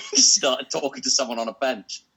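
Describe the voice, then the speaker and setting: Husky man stammering through stories. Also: mid-range voice, huge pitch swings, moderate pace, bright, forceful tone. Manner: stammering, repeats words when starting. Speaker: comedian guest. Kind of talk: anecdotal comedy chat. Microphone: video call.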